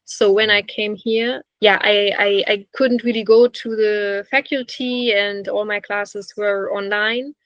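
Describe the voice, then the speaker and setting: A woman's voice: medium pitch, steady tone, unhurried, hardly any pauses, fairly bright tone, no echo. A woman telling a personal story in a casual way. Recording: dull lo-fi, dry sound.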